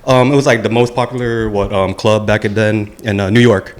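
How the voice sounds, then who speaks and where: A man's voice: fairly low pitch, steady tone, fairly quick. A man co-hosting a podcast, chatting casually with slang and swearing. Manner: slangy, profane. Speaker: podcast co-host.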